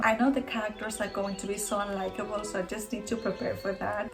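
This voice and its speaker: A woman from Spain with a Spanish accent, chatting enthusiastically about books she plans to read and often rephrasing herself mid-sentence. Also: medium pitch. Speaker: book vlogger. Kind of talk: casual book haul talk to camera.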